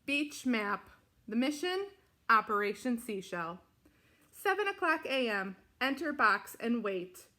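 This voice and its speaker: A woman reading a children's picture book aloud in an expressive, deliberate way with dramatic emphasis. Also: on the high side. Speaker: storybook reader.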